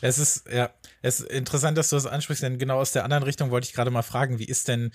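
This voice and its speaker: A man's voice: mid-range voice, flat delivery, quick pace, no echo. Podcast guy asking stuff, medium voice, keeps rewording, dry recording. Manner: long searching questions, rephrasing as he goes. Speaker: podcast co-host, interviewer. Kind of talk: interview questions.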